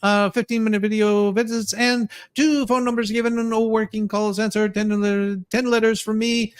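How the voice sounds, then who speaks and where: Woman co-host reading aloud, slightly halting. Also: on the high side, little pitch movement, clear, resonant tone. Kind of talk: dramatic reading with brief asides.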